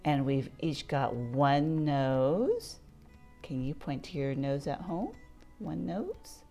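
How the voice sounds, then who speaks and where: Low-pitched, lively woman reading to children. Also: takes it slowly, soft background music. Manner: playful, asks listeners questions and points things out. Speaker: children's read-aloud storyteller. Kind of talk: read-aloud picture book narration for young children.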